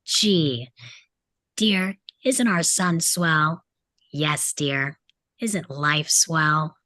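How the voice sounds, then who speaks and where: Bitter teen delinquent boy played by a woman: lower register, sardonic, combative, film-script table read, dry sound. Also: extremely slow, pushed and bright, no room sound, full character voice. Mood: mock cheerful. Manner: sarcastic, aggressive, mimics parents in mock voices. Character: bitter teenage boy delinquent mocking his family.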